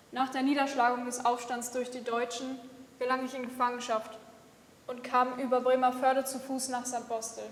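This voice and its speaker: A young woman voicing a fifteen-year-old Polish boy resistance fighter in a solemn, measured testimony reading. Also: fairly high pitch, close to an everyday voice. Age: young adult. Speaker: youth reader in a memorial collage. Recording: echoey hall recording.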